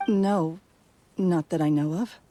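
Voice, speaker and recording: An actress playing a wary, grieving young woman in a video game, speaking slowly and sighing often. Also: on the low side, warm tone, a little hiss or hum. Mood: weary. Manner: slow, guarded, sighing.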